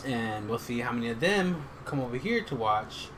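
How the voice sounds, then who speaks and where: A man's voice: mid-range voice, animated, moderate pace, over music, low-quality recording. A man from Texas hosting a podcast in a loose, joking chat with many fillers. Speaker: podcast host. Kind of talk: casual chat.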